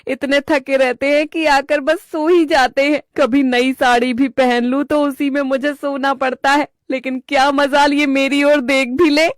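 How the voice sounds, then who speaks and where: A woman's voice: high voice, level tone, measured pace, hardly any pauses, no room sound, clean studio sound. A woman voicing a young, suspicious wife who complains to her mother-in-law in a whiny, dramatic way, in a cartoon story. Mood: tearful complaining.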